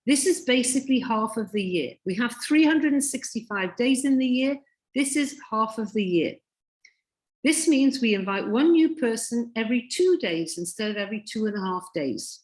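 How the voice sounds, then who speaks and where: A woman with a slightly high voice pitches eagerly over a video call, hammering home each point. Her voice is a bit croaky, and she keeps repeating herself for emphasis. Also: expressive, normal speed, darkish tone. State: croaky from illness. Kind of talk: sales pitch.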